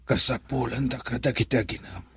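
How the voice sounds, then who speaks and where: An actor playing an ailing, troubled older father in a radio drama, husky and halting. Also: mid-range voice, pushed and bright, no room sound, full character voice. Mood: weary, troubled. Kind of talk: Ilocano radio drama acting.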